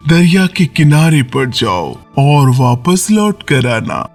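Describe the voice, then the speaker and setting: Man playing a gentle, fatherly sea king. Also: a little high, very animated, soft background music. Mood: loving, cautioning. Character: patient, loving father, merman king of undersea city. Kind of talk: children's fairy tale cartoon acting.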